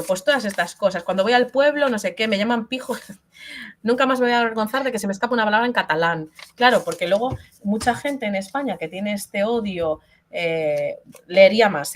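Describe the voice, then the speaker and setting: A woman's voice: medium pitch, a little fast, a little hiss or hum. A middle-aged woman from Spain, an author speaking frankly and bluntly in a webinar interview, with many fillers and restarts, over a video call. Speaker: invited author and linguist.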